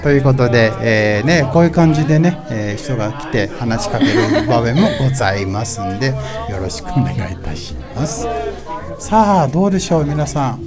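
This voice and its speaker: A man's voice: mid-range voice, expressive, rapid-fire. A man with a Japanese accent hosting a live radio broadcast at a pop-up event, chatting politely and casually in a bilingual way. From Los Angeles, based there. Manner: polite, switches between Japanese and English. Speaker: radio host. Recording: busy event crowd and music. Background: crowd chatter and music.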